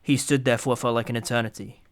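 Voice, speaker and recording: A man with a mid-pitched voice reads as the narrator of an audio drama. His delivery is scripted, dramatic storytelling. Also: level tone, fast.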